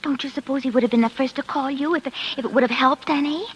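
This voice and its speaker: A woman's voice: sits high, fairly even intonation, fast, close to an everyday voice. An actress playing a chatty, soothing law-office woman in a 1940s radio drama, coaxing and folksy in manner. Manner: coaxing, folksy, calls people honey. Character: chatty, soothing female law-office worker, pushily reassuring. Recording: noisy old 1940s radio broadcast.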